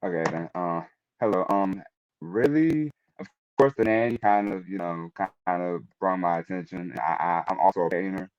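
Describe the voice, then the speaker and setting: A man on a panel giving an informal personal reflection, speaking in a hesitant, halting way. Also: low voice, darkish tone, clear, resonant tone, no echo, muffled recording.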